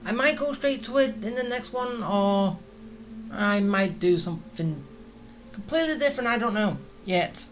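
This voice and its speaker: A woman's voice: mid-range voice, animated, normal speed. A woman playing a video game and commenting casually, thinking aloud in a chatty way. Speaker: video game let's player. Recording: faint game music behind. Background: faint game music.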